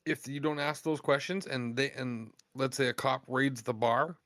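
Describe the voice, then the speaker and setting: A man in his thirties with a bright, forceful voice, swearing freely as he rambles through stories in casual chat. The sound is close and dry, with no room around it. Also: mid-range voice, steady tone, moderate pace, dry recording. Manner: heavy swearing, loose storytelling. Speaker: podcast co-host.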